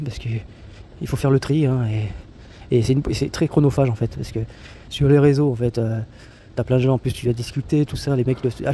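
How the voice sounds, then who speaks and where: Rambling man with a dark, mellow voice. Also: medium pitch, slight background noise. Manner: rambling, many fillers and restarts; blunt, slangy. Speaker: travel vlogger. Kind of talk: casual spoken monologue.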